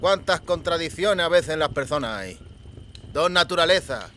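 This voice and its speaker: A man acts out the eldest of a rough country gang in an audiobook. The voice is fairly high, with a lisp. He talks slowly and sardonically, in old-fashioned rustic words, with menace underneath. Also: lively intonation, bright, forceful tone, slight background noise. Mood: mocking. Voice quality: lisping. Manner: slow, archaic rustic speech, sardonic.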